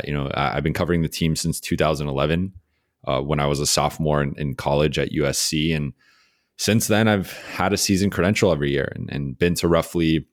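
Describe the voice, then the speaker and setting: Very deep-voiced man, halting podcast farewell. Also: no room sound, studio quality. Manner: halting, many fillers and restarts, sincere. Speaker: podcast host, sports beat writer. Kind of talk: solo farewell podcast message.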